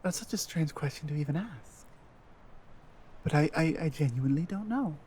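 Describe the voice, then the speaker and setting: A woman playing an earnest, loyal warrior-healer companion in a fantasy ASMR roleplay, with a hesitant, halting delivery. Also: deep voice, lively intonation, warm tone, slight background noise. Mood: cautious, doubtful. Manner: hesitant, halting, with stammered restarts. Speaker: audio roleplay performer.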